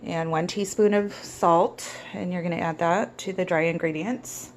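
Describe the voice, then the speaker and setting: Raspy woman with a cold talking through a recipe, voice on the low side, some faint hiss behind. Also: moderate pace. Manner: relaxed step-by-step instructions with many ums. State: hoarse with a cold. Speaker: home cook. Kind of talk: casual cooking tutorial.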